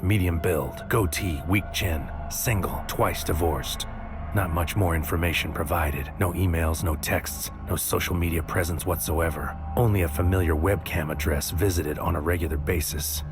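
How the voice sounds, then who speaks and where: A man's voice: sits low, moderate pace, over music, natural voice. An actor playing a bitter, world-weary operative who narrates his thoughts in clipped, poetic lines for an audio drama. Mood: brooding.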